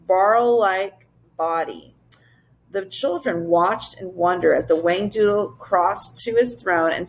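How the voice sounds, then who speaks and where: A woman's voice: fairly low pitch, rough recording. A woman narrating a children's story as a teacher reading aloud to a class.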